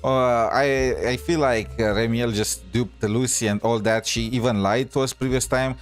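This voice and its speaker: A man doing casual, joking gameplay commentary as a streamer, in a hesitant, halting way. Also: medium pitch, fairly even intonation, clean tone, soft background music. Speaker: gaming streamer.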